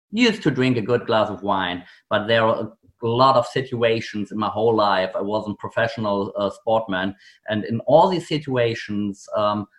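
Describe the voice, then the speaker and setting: A man with a German accent, a native German speaker, answering as a guest on a podcast, earnest and hesitant with many ums. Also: medium pitch. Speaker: guest, company founder. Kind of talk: interview answers.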